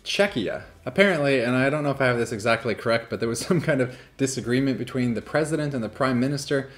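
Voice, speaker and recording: A man's voice: mid-range voice, animated, fast. A man in his thirties chatting casually to viewers as he reacts to a magician's TV act, with easygoing asides.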